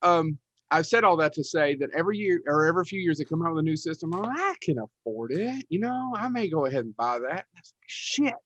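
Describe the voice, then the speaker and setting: A man's voice: fairly high pitch, expressive, a little fast, a bit bright, no room sound, lo-fi sound. A middle-aged man co-hosting a podcast in casual, rambling chat with a self-deprecating manner. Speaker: podcast co-host.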